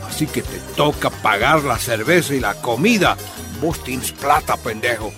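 Actor as a jeering Bolivian drinking buddy. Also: male voice, mid-range voice, expressive, moderate pace, over music, lightly acted. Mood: mocking. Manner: jeering, colloquial. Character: mocking, taunting working-class drinking companion. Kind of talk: radio drama acting.

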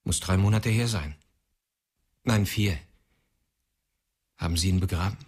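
Radio play: a blunt bar owner, low husky voice, faint music behind. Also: male voice, measured pace. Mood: agitated.

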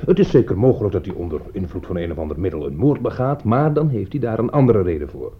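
An opinionated male jurist in a radio play, quick to take offence and fond of scornful lecturing. His lowish voice moves animatedly through its range, on a muffled recording with some hiss. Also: very animated, husky. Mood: insistent, lecturing. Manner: argumentative, pedantic, scornful. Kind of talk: Dutch radio play acting. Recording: dull, noisy old radio play recording.

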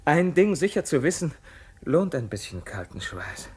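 A man's voice: on the high side, sing-song, moderate pace, slightly rough, exaggerated voice. An actor playing a proud, defiant, haunted king in a theatrical radio play, declaiming commands with sudden outbursts. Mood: grim musing.

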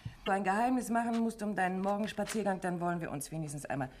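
A woman voicing the sharp, inquisitive wife of a gentleman sleuth in a vintage radio drama. Her voice sits at an ordinary middle pitch, and she questions him in a bantering, needling way. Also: quick pace, slight background noise, natural voice. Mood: coaxing. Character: quick, curious young wife of a detective. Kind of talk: 1950s radio play acting.

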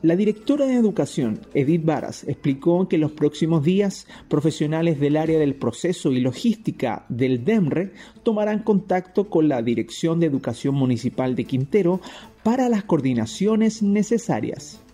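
A man's voice: a little high, expressive, moderate pace, warm tone. A man narrating a scripted news report.

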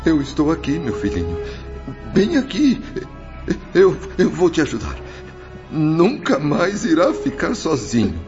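A man's voice: fairly high pitch, huge pitch swings, unhurried. A man playing the tormented ghost of a guilt-ridden father in a radio drama, pleading desperately and melodramatically. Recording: muffled lo-fi audio with music behind.

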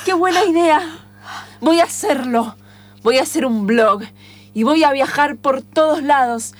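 A woman acts out an earnest young bike mechanic with big ideals, high-voiced and Argentine. She declares her plans aloud as if in an improvised radio play. Music plays behind her. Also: measured pace, slight background noise. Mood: dreamy, determined.

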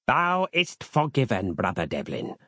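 Stern pious zealot commander, acted by a man, archaic and imperious, preachy, wide swings in pitch, dry storybook sound. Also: wildly swooping pitch, a little slow, sharp tone, slightly rough, no echo, heavily acted. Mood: solemn.

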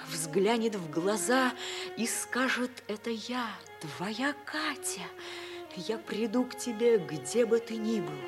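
A devoted young woman speaks very slowly in a high voice over prominent music. She is fervent and incantatory, in the declamatory style of a 1950s radio play. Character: devoted young woman pleading for her beloved. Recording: vintage radio recording under prominent music.

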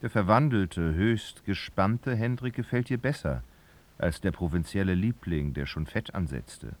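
A man's voice: low-pitched, lively intonation, a little slow, a little mellow. A man narrating a literary radio drama, reading expressively and dramatically.

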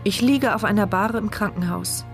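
A woman's voice: mid-range voice, moderate pace, natural voice. An actress playing a frightened, injured young woman who narrates her inner thoughts in a crime radio drama. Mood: reflective. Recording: music playing behind the voice.

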